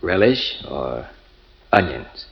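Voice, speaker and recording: A man playing an easygoing lunchroom counterman in a 1940s radio drama, slow and casual, on a dull old recording. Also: very deep voice, big pitch swings, vocal fry, heavily acted.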